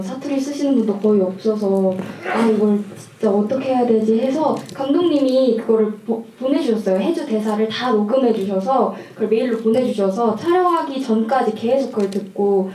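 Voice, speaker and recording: In a roomy, distant recording, a woman actor speaks in a fairly high voice. She answers casually, acting out little quotes as she goes. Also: warm tone. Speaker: film actor. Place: cinema hall at a festival Q&A. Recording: roomy distant camera audio, faint noise.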